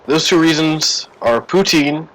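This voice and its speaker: A man's voice: a little high, a little slow, a bit bright, low-quality recording. Man streaming a game, wry banter. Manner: joking, sarcastic banter with chat. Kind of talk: casual live-stream commentary while playing.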